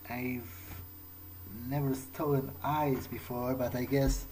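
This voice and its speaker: Slow, hesitant man roleplaying through a game. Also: mid-range voice, little pitch movement, darkish tone, a little hiss or hum. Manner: hesitant, understated, musing to himself. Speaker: let's play host. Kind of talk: in-character game roleplay commentary.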